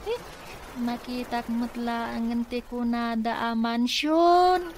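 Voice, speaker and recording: A woman's voice: fairly high pitch, fairly even intonation, takes it slowly, a little mellow. A woman with an Ilocano accent playing a curious, pleading young niece in a radio comedy drama. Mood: coaxing. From Philippines. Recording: clearly noisy background ambience.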